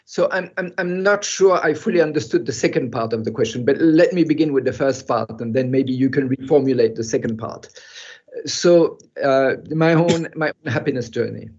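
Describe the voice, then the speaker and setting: An older man who grew up in Europe, speaking as a guest in an online talk and telling a personal story in a halting, reflective way with soft laughs. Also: medium pitch, breathy edge. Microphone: video call. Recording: muffled video call audio.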